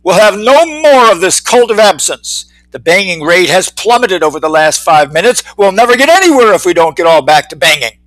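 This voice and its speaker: A man's voice: sits high, sing-song, brisk, hardly any pauses, fairly bright tone, dry recording, rough recording. A man voicing a stubborn old fly elder in a story, scolding and officious. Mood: disapproving. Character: stern, thick old flies, stubborn establishment elders.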